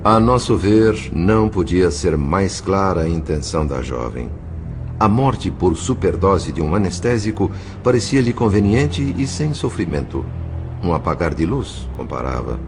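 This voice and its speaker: A man's voice: low-pitched, very animated, measured pace, music in the background, exaggerated voice. A man playing a solemn, compassionate narrator in an audio drama, reading literary prose expressively. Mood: grave concern. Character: solemn, compassionate male spirit narrator of a story.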